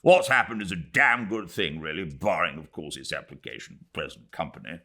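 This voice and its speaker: A man with a British accent playing a loud, crude, blustering older English army major. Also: deep voice, lively intonation, fairly quick, full character voice. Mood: brutal, gloating.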